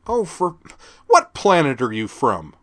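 A man voicing a loud, scornful teenage girl tourist who snaps sarcastically at officers. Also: sing-song, moderate pace. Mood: scornful, bratty. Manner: snappish, sarcastic, shrill.

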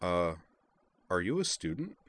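A man's voice: sits low, very animated, measured pace. A man voicing a polite young woman student with a tentative, wry manner in an audiobook story.

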